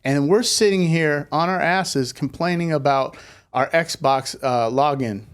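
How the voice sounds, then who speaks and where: A man hosting an interview show in casual chat, informal and quick to exclaim. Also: on the high side, clean tone. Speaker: podcast host.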